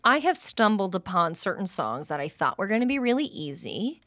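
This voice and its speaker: Lively lower-voiced woman, emphatic swearing co-host, dry close mic. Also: fairly quick, no room sound. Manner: emphatic, swearing, playfully intense. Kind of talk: casual chat.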